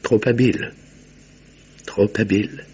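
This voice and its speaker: An actor playing a guarded, weary village doctor with a bitter secret, speaking in a measured, formal way in a French radio drama with muffled sound. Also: male voice, lowish voice, fairly even intonation, a little mellow, slight background noise, lightly acted. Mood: resigned confession.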